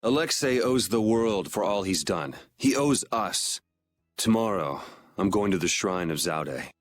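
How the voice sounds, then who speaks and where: A man's voice: lowish voice, lively intonation, measured pace. An actor playing a cool, irreverent young swordsman with a casual, sardonic manner in a video game. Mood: resolute.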